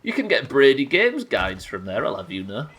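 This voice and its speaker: A man's voice: medium pitch, huge pitch swings, moderate pace, a bit bright, a little hiss or hum. An English man doing casual live game commentary, sweary and jokey, bantering and reading out chat at his desk. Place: indoors at a desk.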